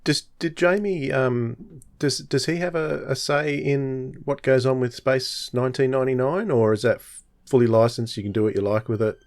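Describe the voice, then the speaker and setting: A male podcast host with a medium-pitched voice. He asks his questions in a casual, conversational style, feeling his way through them with tentative, halting phrasing. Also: fairly even intonation.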